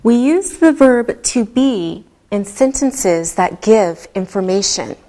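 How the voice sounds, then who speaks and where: A woman teaching a beginner English lesson, speaking deliberately and enunciating every word clearly. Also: medium pitch, animated, dark tone. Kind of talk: slow, scripted ESL lesson delivery.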